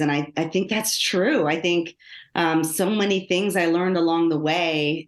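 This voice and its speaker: A middle-aged woman with an American accent, telling her own story conversationally as an interview guest. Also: lowish voice. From Los Angeles, United States. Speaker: podcast guest, lawyer and author. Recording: roomy, echoing sound.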